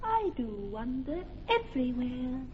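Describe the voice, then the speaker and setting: A high, airy fairy chanting slowly in an old radio play. Her voice floats in a long hall reverb, with a dull, lo-fi sound and faint hiss. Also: sing-song. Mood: lilting. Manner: lilting, chanting. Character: airy, wandering female fairy.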